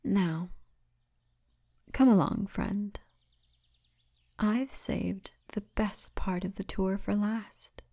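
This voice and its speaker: A towering orc militia woman, played by a female voice actor in an intimate ASMR roleplay. She speaks slowly in a mellow, relaxed tone at a medium pitch. Her manner is confident and teasing, with a formal turn of phrase. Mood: proud, inviting. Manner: teasing, confident, formal turns of phrase, often breathy murmur.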